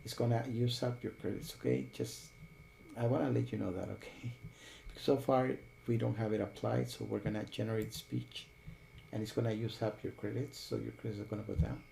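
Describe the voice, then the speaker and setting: A man hosting a software tutorial, explaining each step while thinking aloud. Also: lowish voice, steady tone, brisk, creaky, slight background noise, rough recording. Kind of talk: screen-recorded tutorial.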